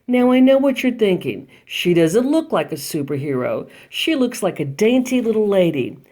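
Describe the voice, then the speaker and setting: A woman reading a children's picture book aloud as a library storytime reader, expressive and emphatic, pausing for effect. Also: medium pitch.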